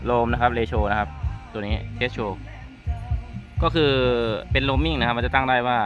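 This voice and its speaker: Low-voiced man, muffled demo recording. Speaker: product trainer demonstrating network testers. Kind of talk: informal hands-on product walkthrough. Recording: muffled lo-fi audio, music underneath. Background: background music.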